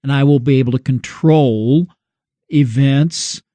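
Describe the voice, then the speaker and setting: A man co-hosting a religious discussion, explaining his reflections in measured, short phrases. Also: mid-range voice, mellow, no echo. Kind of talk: reflective religious commentary in conversation.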